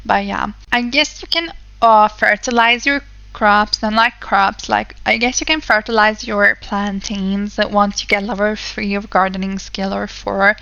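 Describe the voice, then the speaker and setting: A young woman talks her way through a game in easy, rambling commentary, English not her first language. Her voice is bright and crisp. It is recorded dry and close, with a slightly dull, muffled tone. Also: mid-range voice, fairly even intonation, unhurried, dry recording. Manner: chatty, rambling, many fillers. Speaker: let's play host. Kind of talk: casual gameplay commentary.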